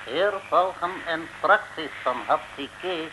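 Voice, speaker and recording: An actor playing a pompous criminal mastermind, declaiming grandiose orders in an old radio serial. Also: male voice, a little high, slow pace, sharp tone, low-quality recording. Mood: commanding. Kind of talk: early-1960s radio serial villain acting. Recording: hissy old gramophone-style radio recording.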